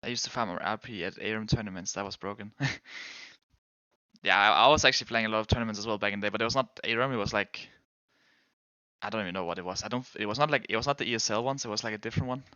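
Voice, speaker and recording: A man's voice: on the low side, rapid-fire, sharp tone, dry recording, dull audio. A man whose first language is Danish, chatting casually with viewers while gaming as a streamer, rambling and restarting his sentences.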